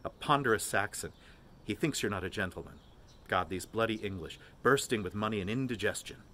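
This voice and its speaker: A man's voice: lowish voice, bright, forceful tone. Man acting plump young wit, theatrical, teasing, grandiose, audiobook character, faint noise behind. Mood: frank, scornful. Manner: grandiose mock-preacher declamation, teasing, briskly jovial.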